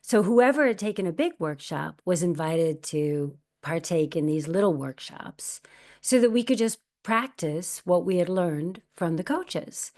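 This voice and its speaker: A woman's voice: lowish voice, animated, a little slow, darkish tone. A woman in her fifties, an actress, telling her story in a candid, reflective interview. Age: 50s. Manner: candid, reflective, quotes her own past thoughts. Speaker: actress and guest.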